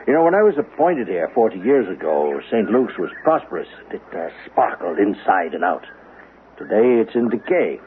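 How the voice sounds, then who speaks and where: An actor playing an elderly, gentle, wistful minister in a 1970s radio drama, speaking in a courteous, hesitant, halting way. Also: male voice, fairly low pitch, sing-song. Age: older. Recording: muffled lo-fi radio recording with faint noise.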